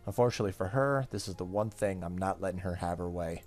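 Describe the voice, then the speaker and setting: A man's voice: sits low, fast talker, darkish tone, soft background music. A man hosting a gaming video, reading visual novel narration aloud and now and then stumbling and rereading. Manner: stumbles over text and rereads. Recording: faint background noise.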